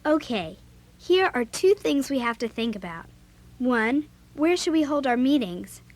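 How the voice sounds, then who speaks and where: A woman's voice: sits high, big pitch swings, measured pace, exaggerated voice. A young woman playing a bossy, outspoken American girl in a children's audio drama, with blunt, emphatic delivery. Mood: eager, organizing.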